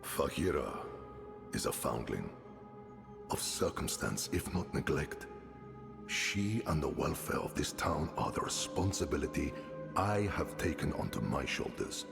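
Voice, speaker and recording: An actor playing a kindly older town leader who speaks in a fatherly, unhurried way. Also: rumbling low, sing-song, creaky, big-room sound, over music, full character voice. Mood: calm kindly. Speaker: town elder and adoptive father.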